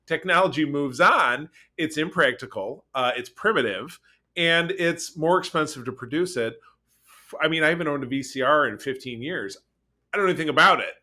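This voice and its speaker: Bright, higher-pitched man chatting on a podcast. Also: moderate pace. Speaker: podcast host. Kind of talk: casual conversational podcast talk.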